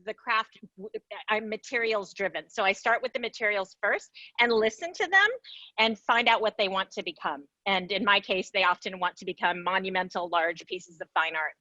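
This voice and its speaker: A woman's voice: medium pitch, moderate pace, pushed and bright, no echo, highs cut off. A woman artist on a video call, explaining her knot craft with enthusiasm and frequent laughs. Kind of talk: casual explanatory talk.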